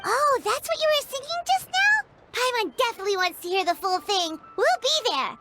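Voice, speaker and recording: A woman voicing a small, excitable, cheeky fairy companion in an animated game, with a squeaky childlike voice. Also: normal speed. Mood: surprised, curious. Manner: perky, refers to self in third person. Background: prominent background music.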